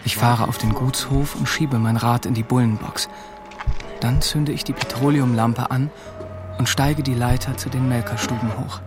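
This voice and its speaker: An actor playing an earnest, anxious seventeen-year-old farm lad in a German radio drama, sober and pleading. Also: male voice, mid-range voice, fairly even intonation, normal speed, over music, natural voice. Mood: hushed, absorbed. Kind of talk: German radio drama acting and first-person narration.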